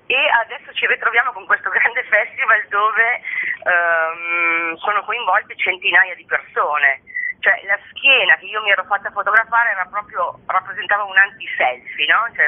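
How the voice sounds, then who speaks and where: A woman speaking as an artist presenting her work in an explanatory interview style, often checking in with short tag questions. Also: medium pitch. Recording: narrow tinny telephone-like line.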